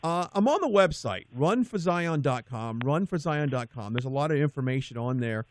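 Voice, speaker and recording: A man hosting a radio interview, enthusiastic and quick to affirm his guest. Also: a little high, expressive, a little hiss or hum. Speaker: radio show host.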